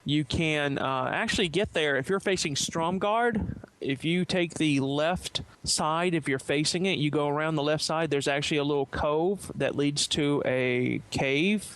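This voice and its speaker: A man's voice: a little high, fairly bright tone. A man giving a casual, methodical step-by-step explanation on a podcast panel.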